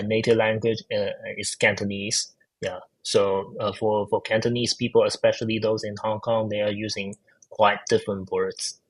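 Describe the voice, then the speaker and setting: A man's voice: on the low side, rough recording. A man with a Chinese accent answering interview questions, hesitant with many fillers and restarts. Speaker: guest, AI researcher. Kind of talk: casual technical interview.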